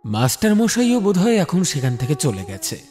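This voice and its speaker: A man's voice: on the high side, huge pitch swings, normal speed, mellow. A man giving a dramatic scripted narration as the storyteller of a horror tale. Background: music bed.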